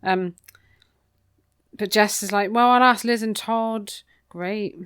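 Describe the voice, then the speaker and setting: A woman's voice: medium pitch, steady tone, slow pace, a bit bright, smooth. A British woman co-hosting a podcast, casually recapping a book in an informal, gossipy, wry way. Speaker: podcast co-host.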